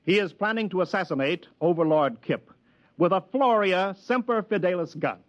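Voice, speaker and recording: Radio-play casting: fussy older American adviser, unctuous and pompous, very animated pitch, dull vintage sound. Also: male voice, moderate pace. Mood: grand announcing. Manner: flustered stammering, wheedling, pompous. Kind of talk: 1950s radio drama acting.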